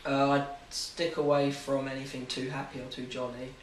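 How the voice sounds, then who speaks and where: Hesitant eighteen-year-old man, flat, echoey. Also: mid-range voice, normal speed, slight background noise. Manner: hesitant, filler-heavy. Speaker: interviewee. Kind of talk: casual answers. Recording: echoey room, far from mic.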